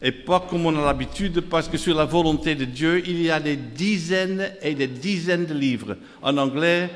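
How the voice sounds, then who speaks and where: A man's voice: a little high, moderate pace. A man with a Dutch accent, preaching a sermon, reading scripture and repeating phrases in lists while calling out to the congregation. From Netherlands. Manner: reads scripture aloud in quotes; calls out to the congregation. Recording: long hall reverb.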